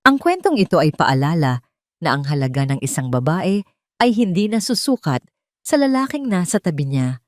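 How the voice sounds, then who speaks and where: A woman reading a romantic drama as a storyteller, in a measured and heartfelt way, close to the mic. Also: deep voice, very animated, no room sound. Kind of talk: scripted drama narration.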